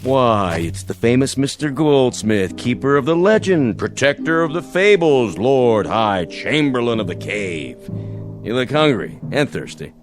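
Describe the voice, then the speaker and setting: A man's voice: mid-range voice, sing-song, measured pace. An actor playing a cocky, mocking army major in a radio drama, sarcastic and theatrical in his taunts. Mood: taunting. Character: cocky, mocking military major, a swaggering intruder. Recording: radio drama with music underneath.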